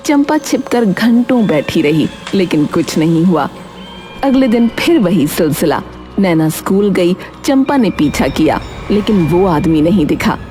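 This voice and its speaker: Female narrator for cartoon tales: soft-toned, expressive, dramatic delivery over faint music. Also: lowish voice, measured pace. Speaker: storyteller. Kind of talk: scripted dramatic story narration.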